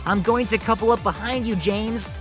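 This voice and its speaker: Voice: mid-range voice, big pitch swings, fairly quick, music in the background, voice pushed up, natural voice. A storyteller voicing an eager young male tank engine, earnest and urgent, in a children's story.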